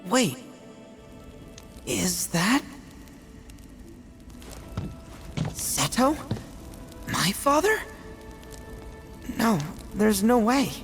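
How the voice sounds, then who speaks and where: An eager young warrior creature in a video game cutscene. He sounds youthful, open and vulnerable, with a high, lively, questioning delivery over clear background music. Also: extremely slow, long pauses, heavily acted. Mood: stunned. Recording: music behind the voice.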